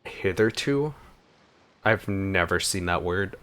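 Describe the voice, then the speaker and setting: A man's voice: deep voice, animated, slow pace, a bit bright. A man giving casual, exclamatory gameplay commentary, informally thinking aloud as he plays. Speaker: gaming video creator.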